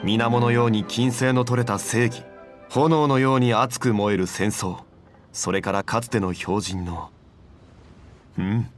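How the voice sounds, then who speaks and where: Dignified, measured scholarly gentleman acted by a man. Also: mid-range voice, fairly even intonation, soft background music, natural voice. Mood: composed, admiring. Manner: measured, formal, erudite. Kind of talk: anime game character acting.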